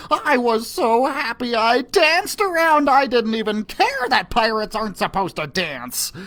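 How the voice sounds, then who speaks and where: Brief: playful children's librarian, pirate-speak storyteller, unhurried delivery, rough gravelly tone. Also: male voice, extremely high pitch, a bit bright. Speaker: children's librarian reading aloud. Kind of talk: storytime read-aloud. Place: library video recording.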